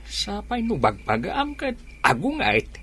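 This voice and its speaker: A man's voice: sits high, wildly swooping pitch, measured pace, pushed and bright, slight background noise, heavily acted. A man acting an easygoing old village husband and farmer in a radio drama. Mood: grumbling.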